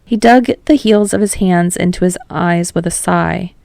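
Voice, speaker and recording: A woman's voice: on the low side, moderate pace, creaky, darkish tone, no room sound. A woman reading scripted fiction aloud as a narrator.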